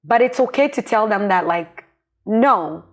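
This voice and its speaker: A woman's voice: medium pitch, lively intonation, unhurried. An educator woman talking as a podcast guest, earnest and encouraging in casual conversation. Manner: earnest, encouraging, laughs often. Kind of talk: casual interview chat.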